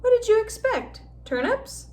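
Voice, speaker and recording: A woman reading a storybook and voicing an excitable, blunt young schoolboy with an expressive, exclaiming manner. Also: very high voice, a little slow, far from the mic, voice pushed up, heavily acted. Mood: teasing.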